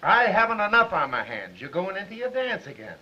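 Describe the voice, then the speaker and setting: Piercing, forceful 1930s newspaper editor. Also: male voice, high voice, big pitch swings, fairly quick, exaggerated voice. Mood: gruff, sarcastic. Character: gruff, impatient, skeptical male newspaper editor. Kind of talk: 1930s film acting. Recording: dull 1930s film soundtrack.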